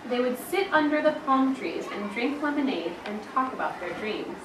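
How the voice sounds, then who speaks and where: A woman schoolteacher reading a picture book aloud to a class, with dramatic, expressive storytelling and emphasis on key words. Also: on the high side, normal speed. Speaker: elementary school teacher. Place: indoor school classroom, with children present. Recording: roomy, distant, noisy classroom recording.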